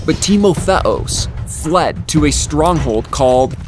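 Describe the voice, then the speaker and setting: A man narrating dramatized scripture in a solemn, measured, dramatic way. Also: on the high side, expressive. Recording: loud music under the voice.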